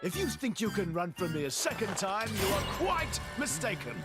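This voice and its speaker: A man's voice: sits high, sing-song, moderate pace, over loud music. An actor playing a bombastic, self-important young swordsman villain in a video game cutscene, with a pompous, theatrical manner. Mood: grandly menacing.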